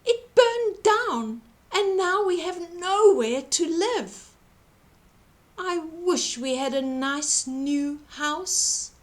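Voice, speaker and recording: A woman voicing a small, distressed, squeaky mother mouse in a children's storybook. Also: animated, drawn-out words, full character voice.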